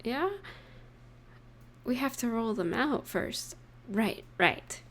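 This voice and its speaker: A young woman playing an affectionate, playful girlfriend in an intimate audio roleplay, speaking softly and tenderly with gentle pauses. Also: a little high, lively intonation. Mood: warm, affectionate. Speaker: voice actor in romantic audio roleplay. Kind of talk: intimate scripted roleplay addressed to the listener.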